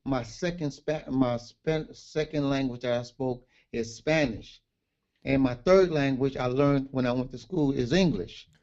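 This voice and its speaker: Mellow-voiced New man, lo-fi audio. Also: mid-range voice, measured pace. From Brooklyn, New York. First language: Garifuna. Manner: relaxed and plainspoken, with street slang. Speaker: guest author. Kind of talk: casual interview on a livestream.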